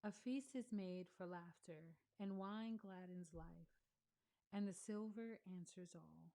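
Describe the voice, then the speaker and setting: A woman giving a slow, measured read-along of scripture, pausing between phrases. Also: fairly low pitch, vocal fry, dark tone. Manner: measured, deliberate reading with pauses. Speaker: scripture reader.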